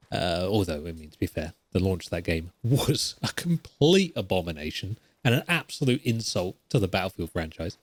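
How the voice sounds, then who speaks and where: A man's voice: on the low side, dry recording. A man hosting a podcast with casual solo gaming commentary, rambling and opinionated, repeating points for emphasis. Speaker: podcast host.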